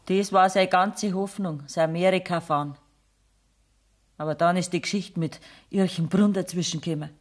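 A woman's voice: lowish voice, fairly even intonation, moderate pace, lightly acted. An actress playing a stubborn, plain-spoken woman giving insistent testimony in a 1970s radio play, with a Bavarian accent. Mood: resigned. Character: stubborn woman testifying in her loved one's defence.

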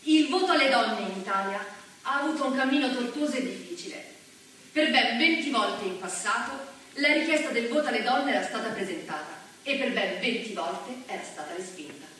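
A woman narrating in a measured, storytelling manner during a stage show. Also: a little high, lively intonation, a bit bright, slight background noise. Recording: echoey stage recording from far off.

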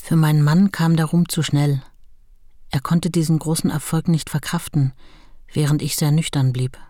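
Radio drama: actress as a writer's wife looking back, low-pitched, unadorned, sober delivery, dry sound. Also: female voice, fairly even intonation, moderate pace, dark tone, dry recording, lightly acted. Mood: sober, rueful. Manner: matter-of-fact, unadorned. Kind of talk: radio play, reminiscing narration.

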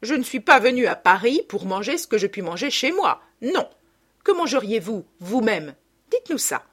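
A woman voicing a loud, fussy, middle-aged provincial mother who talks bossily and chattily. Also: fairly high pitch, sing-song, normal speed, slightly rough, voice pushed up, heavily acted. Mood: chatty.

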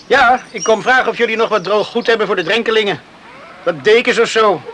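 An actor playing a down-to-earth, resigned young islander in a Dutch radio play, speaking matter-of-factly. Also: male voice, sits high, fairly quick, bright, forceful tone, a little hiss or hum.

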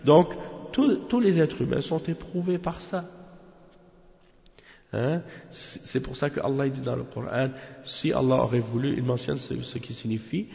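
Gravelly male religious teacher in reverberant sound. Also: mid-range voice, measured pace, a little mellow. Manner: didactic, repeats phrases for emphasis, rhetorical check-ins. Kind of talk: extemporaneous religious lesson explaining a commentary. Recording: muffled, long hall-like reverb.

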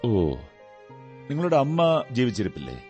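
A shrewd, courteous detective with a very lively, swooping delivery. He reasons aloud in a deliberate, theatrical radio-play manner, sure of himself, with music playing behind him. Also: medium pitch. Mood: probing, businesslike. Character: shrewd, self-assured male detective, courteous and analytical. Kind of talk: radio drama acting.

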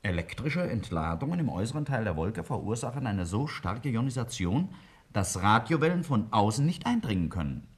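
A man's voice: on the low side, sing-song, brisk, husky. A man playing a brilliant, self-assured scientist in a 1950s German radio drama, lecturing emphatically and brusquely. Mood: assured, explaining. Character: brilliant, self-assured male scientist explaining a daring theory. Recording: vintage 1950s radio play recording.

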